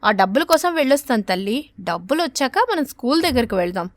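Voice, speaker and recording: A gentle mother sparrow , played by a woman from an animated children's tale, with a fairly high voice. She coaxes and soothes tenderly, protective of her child, recorded dry and close. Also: fast talker, no echo. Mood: reassuring, protective.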